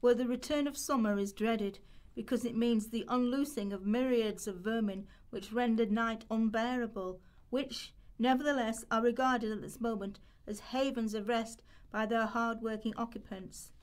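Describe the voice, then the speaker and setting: A woman's voice: a little high, fairly even intonation, moderate pace. Female reader with a raised register, performing quoted Victorian text for a radio documentary drama. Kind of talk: scripted dramatic reading of Victorian prose.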